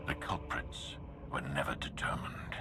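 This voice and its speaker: An actor playing a grave, calculating Sith lord in a video game, speaking in a measured, formal, menacing way. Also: male voice, whispered, natural voice. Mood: grave, brooding. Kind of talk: cinematic video game acting. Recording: loud music underneath.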